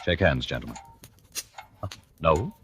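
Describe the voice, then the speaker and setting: An actor in a 1940s radio thriller playing a coolly calculating, murderous husband, measured and sardonic in manner. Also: male voice, rumbling low, animated, a bit bright, heavily acted. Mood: coolly menacing. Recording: noisy, clicking old radio recording.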